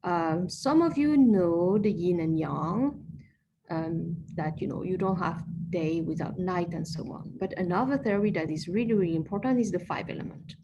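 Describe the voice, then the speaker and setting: A woman's voice: on the low side, normal speed, mellow, muffled recording, slight background noise. A woman acupuncturist giving an informal online talk, explaining patiently with frequent fillers and self-corrections. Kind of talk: informal lecture with slides.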